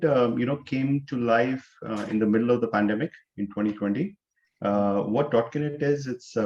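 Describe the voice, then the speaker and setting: A man, a startup co-founder answering interview questions in a measured way, often saying "you know". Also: fairly low pitch, a little mellow, highs cut off. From India.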